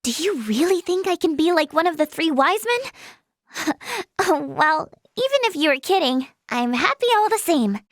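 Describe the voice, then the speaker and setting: Young female game healer, high pitch, earnest, polite, kind-hearted, softly hesitant, dry studio sound. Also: big pitch swings, measured pace, no room sound. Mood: relieved, glad. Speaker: video game voice actress. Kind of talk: scripted game character dialogue.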